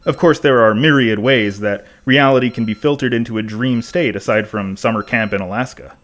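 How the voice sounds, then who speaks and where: A pensive man played by an actor hosting a podcast in an audio drama. His voice sits at a middling pitch as he rambles conversationally through a scripted monologue. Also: brisk, fairly bright tone, lightly acted. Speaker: podcast host.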